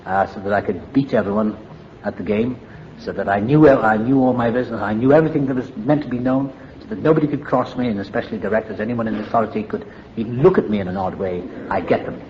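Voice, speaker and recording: A man's voice: on the low side, quick pace, muffled recording, slight background noise. Older Welsh man telling his story. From Wales. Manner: reflective, candid, wry storytelling. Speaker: AA meeting speaker. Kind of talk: personal recovery story at a meeting.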